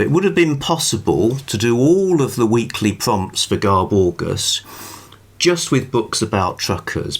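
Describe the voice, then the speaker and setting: Slow-talking, low-voiced British man. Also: a bit bright. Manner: halting, thoughtful, wryly amused. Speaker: book review vlogger. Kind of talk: rambling, informal book talk to camera.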